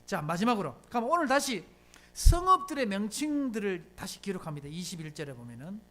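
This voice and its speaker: Animated pastor preaching. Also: medium pitch, brisk. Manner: emphatic rhetorical questions, conversational preaching. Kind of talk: sermon. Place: church sanctuary.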